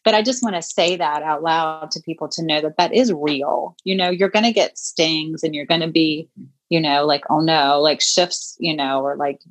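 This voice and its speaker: A woman's voice: sits low, moderate pace, low-quality recording. A woman in her fifties talking as a guest in a casual interview, chatty and reflective, with many fillers. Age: 50s. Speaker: podcast guest, boutique owner.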